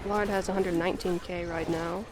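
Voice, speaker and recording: A woman's voice: lowish voice, steady tone, moderate pace, music in the background, rough recording. A woman hosting a gaming stream, chatty and playful, reacting to the game as she plays. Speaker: streamer host. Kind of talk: casual gaming stream chat.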